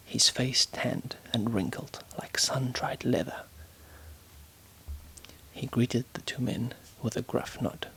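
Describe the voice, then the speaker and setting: A man whispers his way through a story, stopping to mull over edits with hesitant fillers. His whisper is slow and unhurried, carrying a gravelly fry. The tone is bright and crisp, in an ASMR reading style. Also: medium pitch, rough recording. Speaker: writer editing a story aloud.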